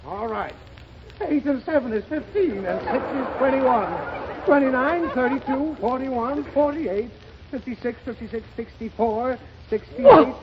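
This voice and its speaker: A man's voice: extremely high pitch, animated, unhurried. A flustered, exasperated father. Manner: flustered, theatrically exasperated, sputtering. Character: exasperated father doing his taxes. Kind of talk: 1930s radio comedy acting. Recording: dull 1930s radio broadcast with hiss and studio audience.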